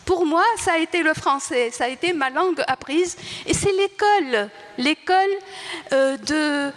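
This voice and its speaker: An older woman born in Tunisia whose first language is Arabic, speaking at a panel talk and reflecting in a halting way, often searching for words and restarting. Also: high voice, a bit bright. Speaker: writer on a panel. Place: large hall. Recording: reverberant hall.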